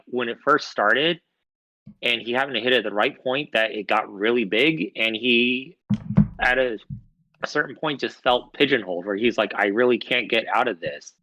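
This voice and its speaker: A man's voice: medium pitch, sharp tone, no echo, rough recording. A man, a podcast host, telling a rambling anecdote in casual chat, swearing freely.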